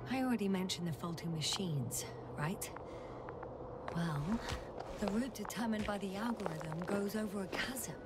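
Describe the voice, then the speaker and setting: An actress playing a wry, thoughtful scientist exploring a planet in a video game, speaking in a measured, musing way. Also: female voice, medium pitch, lively intonation, very noisy, lightly acted. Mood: dry. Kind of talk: naturalistic video game voice acting.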